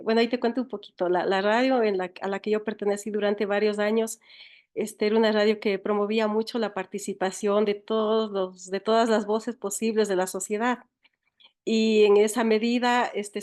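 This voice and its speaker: Ecuadorian woman, interviewed writer and educator; mid-pitched, reflective, halting delivery with repeated words. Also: darkish tone.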